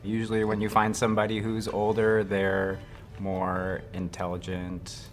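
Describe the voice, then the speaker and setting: A man speaking slowly and thoughtfully as a guest on a couples show. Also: fairly low pitch, monotone, music in the background. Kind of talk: casual chat.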